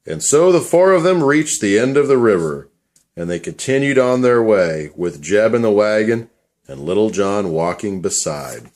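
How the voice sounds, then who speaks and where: A man's voice: lowish voice, big pitch swings, normal speed, darkish tone. A man reading scripted storybook narration for a puppet show.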